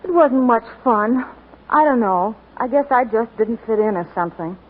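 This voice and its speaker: Fuzzy, narrow 1940s radio recording, young girlish ad character, high-pitched. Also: lively intonation, moderate pace, slightly rough. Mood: disappointed. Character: perky young woman in a soap commercial. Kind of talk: 1940s radio advertisement acting.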